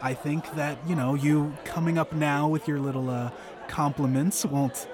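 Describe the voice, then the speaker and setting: A man's voice: fairly high pitch, fairly even intonation, normal speed, a crowd in the background, lightly acted. A man playing a smug, condescending literary star in an improvised comedy drama, needling with feigned politeness.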